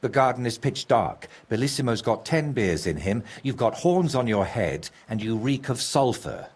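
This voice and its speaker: Excitable, husky, scheming painter. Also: male voice, medium pitch, moderate pace. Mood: gleeful scheming. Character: troubled, scheming, excitable male painter with wild ideas. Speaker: voice actor in a video game. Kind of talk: video game character dialogue.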